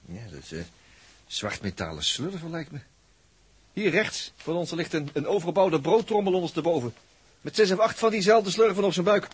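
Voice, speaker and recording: A man's voice: on the high side, very animated, moderate pace, slightly rough, heavily acted. A man with a Netherlands Dutch accent acting a practical, easygoing, wry space crewman in a 1960s science-fiction radio drama, musing and conversational. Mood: puzzled, wry.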